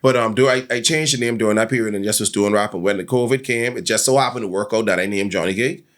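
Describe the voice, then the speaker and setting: Fast-talking Bahamian man, animated storyteller. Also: on the low side. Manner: animated, slangy, often seeks agreement. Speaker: musician, interview guest. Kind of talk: casual conversational storytelling.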